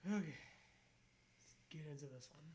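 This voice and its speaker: High-pitched man doing comic read-alouds. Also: animated, measured pace, warm tone, slight background noise. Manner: sarcastic, profane riffing. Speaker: co-host reading fan fiction aloud.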